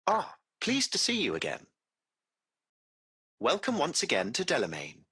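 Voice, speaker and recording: A man's voice: a little high, wildly swooping pitch, measured pace, bright, forceful tone, breathy edge, exaggerated voice. A man playing a courteous, formal AI taxi-service machine in a video game, with precise, butler-like phrasing. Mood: polite, welcoming. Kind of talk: scripted video game voice acting.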